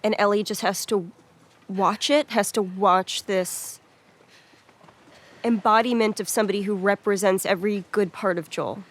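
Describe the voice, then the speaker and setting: Reflective woman giving mid-pitched commentary. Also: fairly even intonation, normal speed. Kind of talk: casual analytical commentary.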